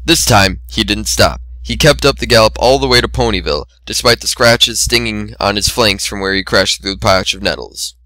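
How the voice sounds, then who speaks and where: A man reads scripted fiction aloud as an amateur narrator. His voice is slightly low but bright and forceful, in a dry, close recording. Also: moderate pace, few pauses, no echo. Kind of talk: dramatic reading of fan fiction, scripted narration.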